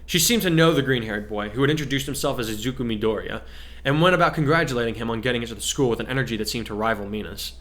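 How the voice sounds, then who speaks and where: Man narrating a story, mid-pitched. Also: rapid-fire, fairly bright tone. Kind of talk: dramatic story reading, scripted narration.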